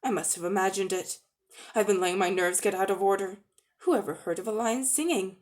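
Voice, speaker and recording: A woman voicing a selfish, fearful old gentleman in a storybook, grumbling and full of self-pity. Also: medium pitch, fairly quick, natural voice. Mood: self-convincing.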